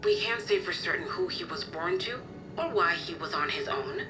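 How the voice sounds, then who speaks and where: A woman's voice: lowish voice, very animated, normal speed, background noise. A kindly motherly guardian, expressively lilting. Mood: sad, caring. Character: kindly motherly woman, caring guardian of an orphaned boy. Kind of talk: video game cutscene acting.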